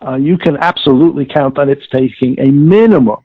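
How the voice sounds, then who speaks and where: Gravelly older man on a phone-like line. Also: medium pitch, dry recording. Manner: halting, enumerates points methodically. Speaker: audio director-producer, interviewee. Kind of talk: explanatory interview talk.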